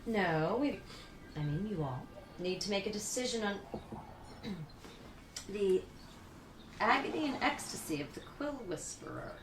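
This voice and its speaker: A woman acting as a flustered, officious chair of an editors' meeting in a satirical radio play, halting and managerial. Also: mid-range voice, very animated. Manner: halting, managerial, throat-clearing. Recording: distant roomy echo, faint noise.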